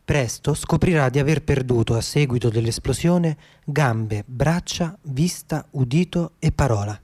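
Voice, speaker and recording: A man's voice: medium pitch, a little slow, a little mellow, no room sound. A man announcing a radio drama with a theatrical, scripted serial-style narration. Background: music.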